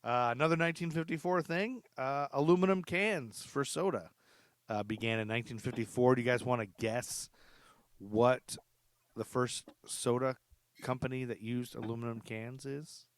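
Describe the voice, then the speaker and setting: A man's voice: mid-range voice, moderate pace, no room sound, studio quality. A man hosting a casual history podcast, chatty and rambling, laughing often. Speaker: podcast host. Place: lives in Charlotte.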